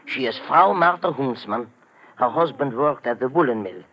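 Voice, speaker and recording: An actor playing a helpful, fussy German hospital doctor in an old radio drama, hesitant yet precise, with a German accent. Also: male voice, medium pitch, expressive, normal speed, bright, forceful tone, low-quality recording. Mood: grave explaining. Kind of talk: 1950s radio drama acting.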